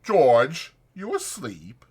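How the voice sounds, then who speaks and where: A man voicing a big, simple-minded, childlike ranch drifter who speaks slowly and haltingly, eager and pleading, in an audiobook. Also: fairly high pitch, huge pitch swings, a little mellow, husky, pitched above the performer's own voice, full character voice. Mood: wistful. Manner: slow, halting, emphatic speech.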